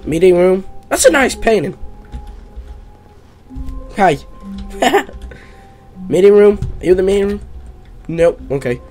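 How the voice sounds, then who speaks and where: A young man, hoarse from losing his voice, chatting casually as he plays a game at home. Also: high voice, big pitch swings, a little slow, pauses often, a little mellow, faint music behind. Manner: rambling, chatty, full of fillers. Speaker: gaming YouTuber. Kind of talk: casual gameplay commentary.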